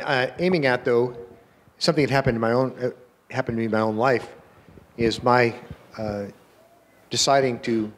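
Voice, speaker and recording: An older man on a panel speaking earnestly into a handheld mic on an auditorium stage, often pausing and restarting his sentences. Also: mid-range voice. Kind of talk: panel discussion.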